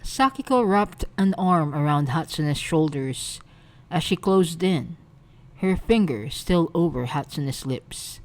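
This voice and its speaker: Brief: female narrator for romantic fan fiction, low register, soft storytelling read. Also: expressive, slow pace.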